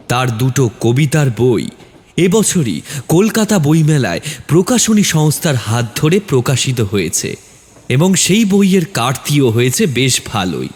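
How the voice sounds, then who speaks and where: Reverberant male horror story narrator. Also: mid-range voice. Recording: long reverb on the voice.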